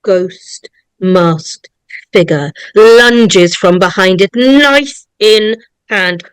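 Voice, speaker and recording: A woman's voice: medium pitch, drawn-out words, smooth, no echo, low-quality recording. A woman narrator reading screenplay stage directions aloud.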